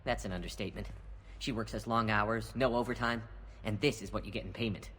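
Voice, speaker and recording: An actor playing a blunt, bitter, overworked construction worker in a video game. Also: male voice, lowish voice, steady tone, a little fast, fairly bright tone, a little hiss or hum, close to an everyday voice.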